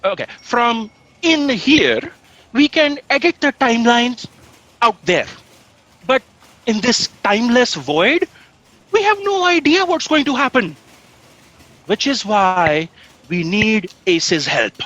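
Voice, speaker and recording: Audio drama role: actress as serious, anxious office aide, measured pace, expressive pitch. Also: female voice, no room sound, highs cut off, full character voice. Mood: earnest. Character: earnest, long-suffering copy aide, anxious and serious. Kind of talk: comedic audio drama acting.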